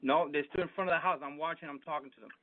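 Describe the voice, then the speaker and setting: A man's voice: a little high, fairly even intonation, rapid-fire, crowd noise. A middle-aged man chatting casually as a father, with an insistent, questioning way of talking, over a doorbell intercom. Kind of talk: casual conversation. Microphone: doorbell intercom call. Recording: phone-like doorbell intercom audio, clear background noise.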